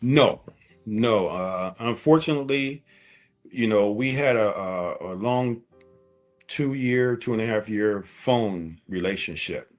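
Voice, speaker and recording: A man's voice: on the low side, slow pace, soft background music. A man in his forties speaking as an interview guest, candidly telling a personal story in a conversational way and repeating phrases for emphasis.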